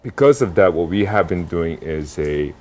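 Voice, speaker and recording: A young adult man from South Korea answering interview questions about impact investing, pausing often with fillers and restarts. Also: sits low, lively intonation, darkish tone. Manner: halting, many fillers and restarts.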